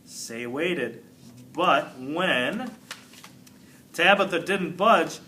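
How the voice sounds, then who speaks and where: A man reading a children's book aloud in an expressive, emphatic narrating style. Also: fairly high pitch, very slow, slight background noise. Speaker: storybook reader.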